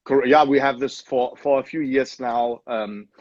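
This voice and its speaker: A man's voice: mid-range voice, steady tone, unhurried, a little mellow, no echo. Man on dry, muffled audio. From Germany. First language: German. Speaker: soccer club owner and general manager. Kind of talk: casual video-call interview.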